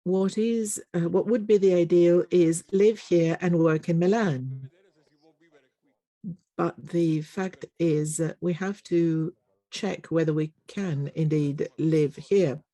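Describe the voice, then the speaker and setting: An interpreter renders a live panel into English, speaking slowly and halting over frequent fillers. The voice is mellow and dark. The sound is close and dry, with no room echo. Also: mid-range voice. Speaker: conference interpreter. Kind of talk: simultaneous interpretation of a panel discussion.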